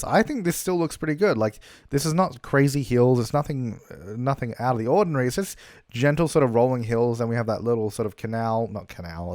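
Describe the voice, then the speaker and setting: A man's voice: mid-range voice, no echo, studio quality. Chatty young man, slightly worn voice. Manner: chatty and rambling, with frequent fillers and laughs. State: losing his voice a little. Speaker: gaming YouTuber. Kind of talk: casual gameplay commentary. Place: hotel room. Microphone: travel recording setup.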